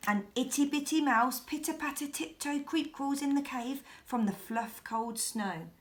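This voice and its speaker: A woman's voice: high voice, unhurried. A woman reading a children's bedtime story aloud expressively, as if speaking directly to young listeners.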